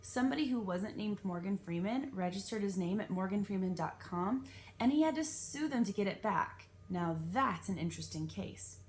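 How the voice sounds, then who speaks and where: A woman's voice: medium pitch, animated, normal speed, a little hiss or hum, lightly acted. A woman voicing a precocious, self-important ten-year-old girl in a storybook, speaking in a matter-of-fact, know-it-all way. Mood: eager.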